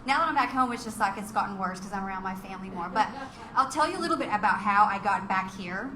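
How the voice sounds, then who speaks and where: A woman's voice: mid-range voice, expressive, quick pace, a crowd in the background. Texan woman speaking in an echoey hall. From Texas. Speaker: guest speaker. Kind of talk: speech at a political meeting.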